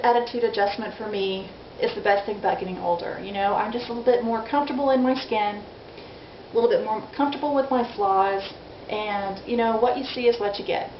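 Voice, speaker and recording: A woman in her forties chatting candidly in a casual video diary, self-deprecating and frank. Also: on the high side. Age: 40s. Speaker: vlogger. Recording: dull lo-fi audio in an echoey room with faint noise.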